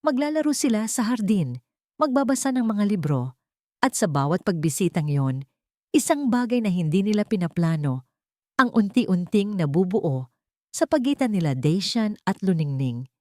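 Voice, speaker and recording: A woman reading a dramatic love story as a narrator, in emotive, measured phrases. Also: medium pitch, very animated, warm tone, no echo, clean studio sound.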